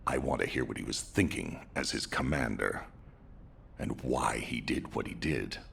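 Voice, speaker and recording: A man playing a grave, weary but resolute military commander in a video game, with a husky, gravelly voice and a stern, formal delivery. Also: extremely low pitch, moderate pace, a bit bright, slight background noise, heavily acted. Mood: somber. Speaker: commander giving mission briefings. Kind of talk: dramatic video game briefing.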